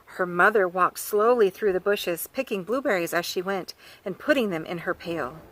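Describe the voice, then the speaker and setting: A woman, a children's author, reading a picture book aloud outdoors by her farm garden, talking to young listeners in an animated, sing-song way and asking them questions. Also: lowish voice, moderate pace, low-quality recording.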